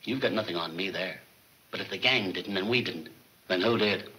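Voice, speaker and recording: An actor playing a gruff police chief in a 1940s movie serial. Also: male voice, deep voice, moderate pace, pushed and bright. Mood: stern. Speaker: film actor. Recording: noisy old 1940s film soundtrack.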